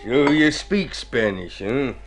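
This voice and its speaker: An actor playing a shady, wily fixer in a radio drama, with an insinuating, hard-boiled manner. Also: male voice, medium pitch, lively intonation, slow, over loud music. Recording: old radio drama with musical backing.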